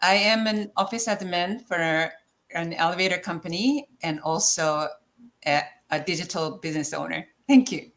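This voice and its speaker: A woman's voice: lowish voice, moderate pace, a bit bright. A woman from Korea, a co-host giving a short self-introduction.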